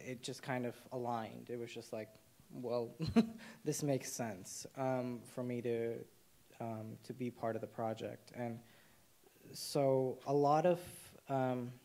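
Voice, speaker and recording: A young man from Nepal, a documentary cinematographer speaking on a panel, talking with many pauses and restarts. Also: medium pitch, steady tone, warm tone. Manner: hesitant, many fillers and restarts. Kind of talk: panel discussion.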